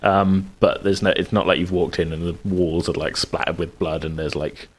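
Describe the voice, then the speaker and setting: Low-voiced man running a tabletop game. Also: fairly even intonation, normal speed, slightly rough. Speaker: game master. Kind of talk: improvised, conversational narration of a tabletop game.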